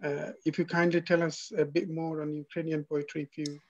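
A man with a Bangladeshi English accent hosting a live online poetry interview, asking halting questions full of restarts and fillers. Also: a little high. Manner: halting, many fillers and restarts, steady murmured backchannels. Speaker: interview host. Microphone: video call mic. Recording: dull lo-fi call audio.

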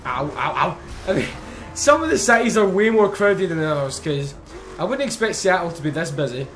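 A young man chatting casually over racing gameplay, excitable, swearing and joking. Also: fairly high pitch, normal speed, faint music behind. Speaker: gaming YouTuber. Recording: faint game engine noise behind.

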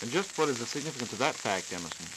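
An American man plays host on a scripted 1940s radio interview, putting curious, folksy prompts at a brisk clip. His mid-pitched voice is heard through clear hiss and crackle from an old disc. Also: lively intonation. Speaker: radio host asking questions. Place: radio studio.

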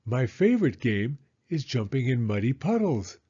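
A man's voice: mid-range voice, big pitch swings, moderate pace, dark tone, breathy edge, dull audio. A man voicing a friendly, eager little girl pig at school in a children's storybook, bouncy and encouraging. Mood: playful.

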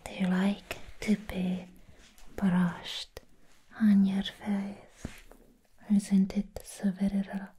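A woman playing a sweet, affectionate vampire in an ASMR roleplay, whispering softly and soothingly close to the mic. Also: mid-range voice, completely flat delivery, a little hiss or hum, close to an everyday voice. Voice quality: soft, breathy whisper. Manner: slow, soothing, gently repeating words. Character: sweet, affectionate young female vampire who likes humans. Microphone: close ASMR microphone.

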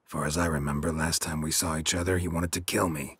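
A man playing a stoic, weary monster-hunting warrior in a video game, gravelly, terse and deadpan. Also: rumbling low, fairly quick, no room sound, heavily acted. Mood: dry, guarded. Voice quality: gravelly, husky. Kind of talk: video game cutscene acting.

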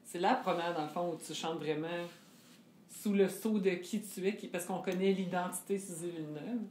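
A woman's voice: fairly low pitch, moderate pace, darkish tone. A woman with a Québécois French accent interviewing a guest, enthusiastic and thinking aloud with restarts. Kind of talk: interview conversation. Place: living room with a fireplace, indoors. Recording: echoey room with faint hiss.

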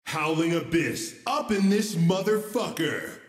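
A man acts out a brash, foul-mouthed announcer for a video game parody. The voice is high and very animated, stretching out each call slowly. It rings with swagger. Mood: brash hype. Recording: echoey hall-like reverb from game clip.

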